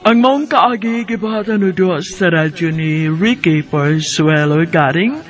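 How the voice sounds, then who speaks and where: A man's voice: high voice, measured pace, noisy background. A man with a Bisaya accent reading scripted closing narration as a radio drama announcer. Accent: Filipino Bisaya.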